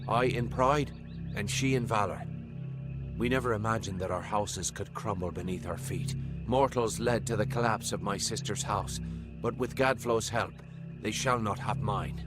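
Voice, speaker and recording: An actor playing a proud immortal fae knight lord in a fantasy video game, grave, formal and stately. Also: male voice, on the low side, natural voice.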